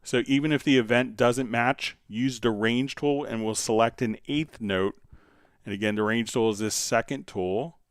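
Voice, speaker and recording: A man hosting a casual live software tutorial, talking haltingly as he thinks aloud while demonstrating. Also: medium pitch, no echo, clean studio sound. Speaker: livestream host, software instructor.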